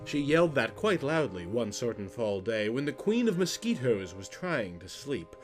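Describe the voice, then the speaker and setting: A man's voice: mid-range voice, big pitch swings, moderate pace, soft background music. A man narrating a rhyming children's poem as a storyteller, in a theatrical, rhythmic, emphatic way.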